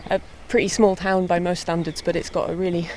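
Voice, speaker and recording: A young woman, a harpist, chatting in a relaxed way with light laughs while out walking on a hillside. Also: fairly low pitch, brisk. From born in England, raised in mid Wales. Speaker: harpist and guest. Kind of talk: casual walking interview. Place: outdoors on a hillside walk. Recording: faint outdoor noise.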